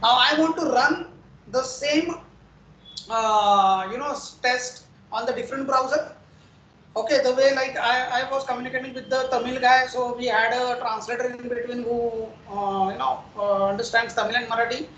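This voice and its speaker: An instructor from India, patiently explaining software testing in a recorded lecture and often checking in with "okay" and "right". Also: mid-range voice, fairly even intonation, normal speed. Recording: roomy echo, faint background noise.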